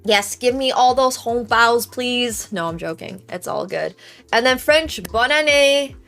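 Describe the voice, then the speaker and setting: A woman's voice: on the high side, lively intonation, measured pace, creaky, a bit bright. A young woman with Chinese as her native language, chatting casually with viewers as a live-stream host. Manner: chatty, thanks viewers, tries greetings in many languages. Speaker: livestream host. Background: faint background music.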